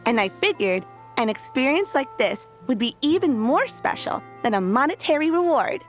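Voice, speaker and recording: A woman's voice: high voice, big pitch swings, moderate pace. A woman playing an eager, effusive young event staffer in a video game, gushing and earnest, with music behind. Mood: gushing, grateful.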